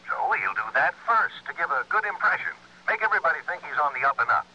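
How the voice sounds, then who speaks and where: An actor playing a fussy, eager insurance man on the telephone in a radio drama, inquisitive and raspy. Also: on the high side, fast talker, highs cut off, exaggerated voice. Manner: animated, inquisitive, deliberating aloud. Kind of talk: 1960s radio drama acting. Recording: thin telephone voice on old radio recording.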